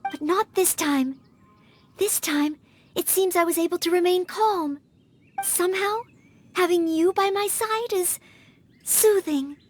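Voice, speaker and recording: An actress playing a timid, polite young maid knight in a video game, speaking earnestly and hesitantly, eager to help. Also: female voice, very high-pitched. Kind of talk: video game character acting.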